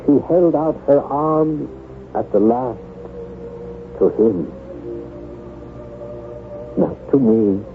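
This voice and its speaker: A thin, band-limited radio drama recording with music, and a husband wracked by grief and blame. He speaks slowly and haltingly in a mid-pitched, anguished voice. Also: expressive, phone-quality sound. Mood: stricken, bitter. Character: grief-stricken, self-blaming husband in gothic tale. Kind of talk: melodramatic radio drama acting.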